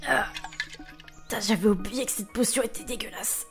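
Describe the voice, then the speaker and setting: A woman playing a talkative, expressive young potion student adventurer in an amateur fantasy audio drama, speaking informally. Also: mid-range voice, moderate pace, creaky, soft background music, lightly acted. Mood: puzzled, wary.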